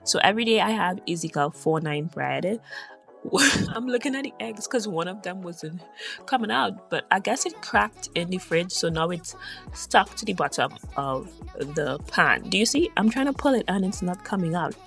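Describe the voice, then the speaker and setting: Trini woman narrating her vlog, lowish voice, soft music underneath, chatty and all over the place. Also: expressive, normal speed, gravelly. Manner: chatty, digressive and upbeat. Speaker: vlogger.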